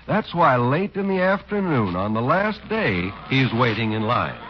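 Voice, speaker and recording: Animated 1940s male radio announcer. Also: mid-range voice, measured pace. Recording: muffled 1940s radio recording. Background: music.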